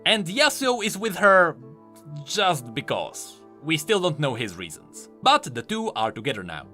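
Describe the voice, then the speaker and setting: A man's voice: fairly high pitch, wildly swooping pitch, measured pace, pushed and bright, faint music behind. A man giving enthusiastic, explanatory commentary on video game lore, leaning hard on key words for emphasis.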